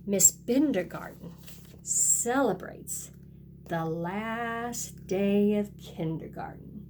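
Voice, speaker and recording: A woman's voice: medium pitch, slow pace, noisy background. A woman kindergarten teacher reading a picture book aloud and talking directly to young children in a sing-song way.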